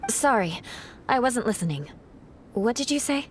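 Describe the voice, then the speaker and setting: A woman's voice: fairly high pitch, very animated, normal speed, a little hiss or hum. A woman voicing a composed, serious and commanding young female knight in an anime-style video game. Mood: calm.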